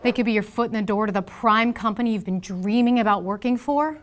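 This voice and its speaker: A woman's voice: mid-range voice, fairly quick. A woman delivers a scripted instructional presentation as a career coach, emphatic and in short, punchy phrases.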